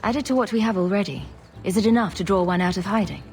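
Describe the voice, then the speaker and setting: A woman playing a confident, sardonic adventurer with a wry, deadpan manner in a scripted fantasy game. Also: medium pitch, quick pace, close to an everyday voice. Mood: matter-of-fact.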